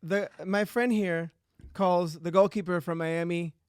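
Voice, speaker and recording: High-voiced bilingual podcast co-host. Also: male voice, steady tone, a little slow, darkish tone, clean tone, no echo, studio quality. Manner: playful, switches between English and Spanish. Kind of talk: casual sports chat.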